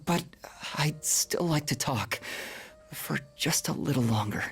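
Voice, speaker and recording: Frail dying young man in a game, real slow, tired n breathy, sad and resigned, music behind. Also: a little high, expressive. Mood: resigned, grateful. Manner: weary, breathy, halting. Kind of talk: English video game dub acting, dramatic monologue.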